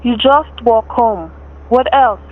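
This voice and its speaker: A woman's voice: on the high side, slow pace. Woman acting a sneering gunman, thin band-limited sound. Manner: slangy tough-guy talk, sarcastic. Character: menacing, suspicious male gunman on the run, sneering. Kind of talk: radio thriller acting. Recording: thin, phone-like radio-play recording.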